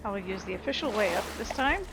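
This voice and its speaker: A woman's voice: medium pitch, lively intonation, quick pace, poor audio. A woman giving casual, self-deprecating gameplay commentary, thinking aloud informally as she plays. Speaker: gamer, let's play host. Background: game music and sounds.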